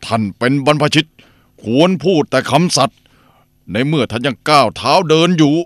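A man's voice: medium pitch, moderate pace, no room sound, faint music behind, natural voice. A man voicing a fierce bandit. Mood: shouting, defiant. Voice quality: shouting, fierce. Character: fierce young male bandit turned humble penitent. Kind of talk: animated cartoon voice acting.

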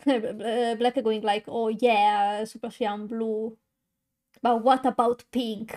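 A young woman chatting on stream in an unhurried, drawn-out way, her voice sitting a little high. She stutters and restarts often, filling gaps with uh and um as she talks casually to her viewers. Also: level tone, warm tone. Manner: stutters, many fillers and restarts. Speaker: VTuber streamer. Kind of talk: casual live-stream chat while coloring.

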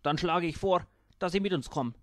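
A man's voice: fairly high pitch, brisk, no echo, studio quality, voice pushed up, lightly acted. A man voicing a blunt, matter-of-fact bronze alien in an audiobook.

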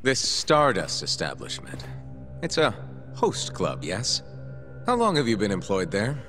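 Game prosecutor, male: low, animated, abrasive, pointed and hot-tempered. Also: unhurried, soft background music, exaggerated voice. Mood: accusatory. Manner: cutting, formal, pointed. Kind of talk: English-dub video game cutscene acting.

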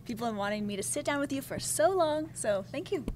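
A young woman hosts an interview, speaking fast in a slightly high voice. She holds a handheld mic on a studio couch and sounds upbeat, with a faint hum of room noise behind her. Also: expressive, darkish tone. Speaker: interviewer, host. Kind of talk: interview host questions and sign-off.

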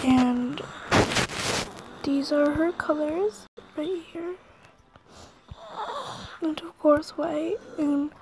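A young girl who is sick and losing her voice chats haltingly while showing a drawing on her phone at home. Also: sits high, fairly even intonation, noisy background. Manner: rambling, halting, with throat clearing. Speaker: drawing app vlogger. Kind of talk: casual screen-recorded chat. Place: bedroom at home, brother snoring nearby.

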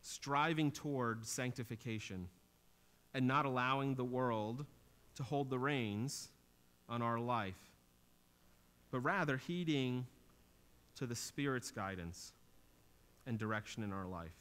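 A man's voice: medium pitch, slow pace, pauses often, fairly bright tone. A man in his thirties preaching a sermon in a small church, explaining scripture in an earnest teaching tone that lifts for emphasis. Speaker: pastor. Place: small church stage with drum kit.

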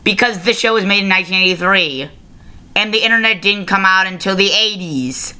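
A young adult giving a casual video review to camera, halting and often self-correcting, recorded on a webcam mic in a small room. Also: medium pitch, bright, forceful tone, clear, resonant tone. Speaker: review show host. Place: small plain-walled room indoors. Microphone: laptop webcam microphone at arm's length.

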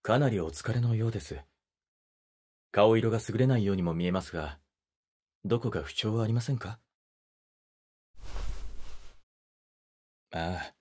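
An actor playing a polite, gentlemanly young wedding photographer who speaks softly and teases the listener in an audio drama. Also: male voice, fairly low pitch, husky. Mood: caring, soft. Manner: soft-spoken, polite, teasing.

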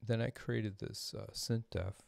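A middle-aged man giving an informal tutorial at his desk, thinking aloud in a halting way as he explains. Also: fairly low pitch, fairly even intonation, vocal fry, a little mellow, no echo. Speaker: composer demonstrating audio programming. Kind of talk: informal screen-share tutorial. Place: small indoor room at a desk.

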